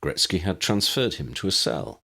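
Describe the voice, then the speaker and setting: Deep-voiced man narrating a story. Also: expressive, moderate pace, pushed and bright. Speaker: audiobook narrator. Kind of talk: scripted narration of fan fiction story.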